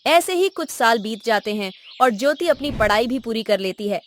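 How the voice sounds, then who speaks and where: A woman's voice: a little high, animated, moderate pace, a little hiss or hum. A woman narrator reading a scripted moral story.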